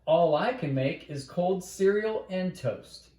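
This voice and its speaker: Gloomy storybook boy played by a male reader, hesitant and complaining, highish voice, roomy echo. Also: lively intonation, takes it slowly, darkish tone. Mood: wailing, doomed.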